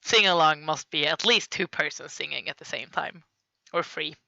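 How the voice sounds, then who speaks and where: A woman's voice: low voice, normal speed, creaky, sharp tone, no echo, lo-fi sound. A woman with a Swedish accent, streaming and chatting casually as she reacts to fan videos, often laughing and apologizing as she stumbles over names. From Sweden. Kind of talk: casual live-stream commentary.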